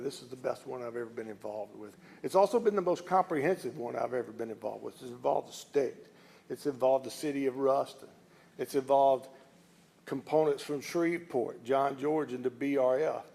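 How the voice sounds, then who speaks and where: The gravel-voiced Southern US company boss you'd hear at a podium at a local jobs announcement, talking plainly and emphatically to officials in a down-home way. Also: male voice, mid-range voice, darkish tone. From southern United States (Alabama and Louisiana). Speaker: company executive speaking at an announcement. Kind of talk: informal public speech.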